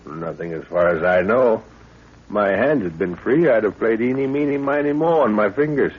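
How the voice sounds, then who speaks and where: A man acting a big, gruff, blustering middle-aged professor in a 1930s radio adventure. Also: fairly low pitch, moderate pace. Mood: dry satisfaction. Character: huge, gruff, hot-tempered older professor leading a jungle expedition. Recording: muffled old 1930s radio recording.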